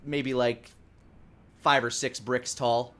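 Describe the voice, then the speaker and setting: A man narrating a tabletop game as dungeon master, improvising and pausing often to search for words. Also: medium pitch, level tone, fairly bright tone.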